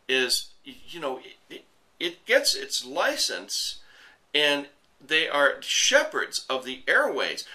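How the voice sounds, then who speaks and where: A very bright, forceful male voice with an American accent and a lively, swinging pitch. He speaks off the cuff as a show host, blunt and indignant, hammering his points to the viewers. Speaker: host of an online commentary show. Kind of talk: off-the-cuff opinionated rant to viewers.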